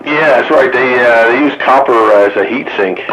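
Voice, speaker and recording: A man's voice: medium pitch, moderate pace, a bit bright, dull audio. A man talking casually as a radio hobbyist on a CB net. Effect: CB radio transmission. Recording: light CB radio background hiss.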